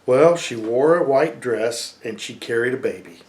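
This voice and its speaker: A man reading a storybook voices an earnest, defensive little boy who speaks haltingly and insistently. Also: medium pitch, expressive, darkish tone, lightly acted.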